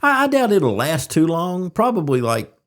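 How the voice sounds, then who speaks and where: Man, very varied pitch, casual chat, halting phrasing, repeated words. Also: big pitch swings, fairly bright tone. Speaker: podcast co-host.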